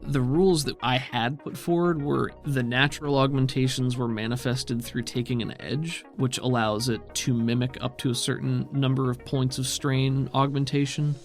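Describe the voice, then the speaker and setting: A man at a middling pitch runs a tabletop game, explaining the rules in a loose, thinking-aloud way. He swears casually. Faint music sits under his voice. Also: fairly even intonation, normal speed, hardly any pauses. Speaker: game master.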